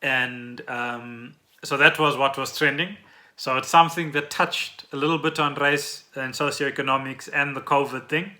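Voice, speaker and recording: A man's voice: medium pitch, fairly even intonation, moderate pace, pushed and bright. A South African man hosting a podcast, explaining trending news in a conversational way and often checking in with the listener. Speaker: podcast host.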